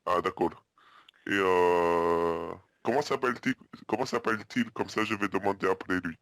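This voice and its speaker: A man playing an earnest, muddled hotel guest on a prank phone call, hesitant, repetitive and politely deadpan. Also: low voice, moderate pace, no room sound, clean studio sound. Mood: deadpan, rambling. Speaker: prank caller.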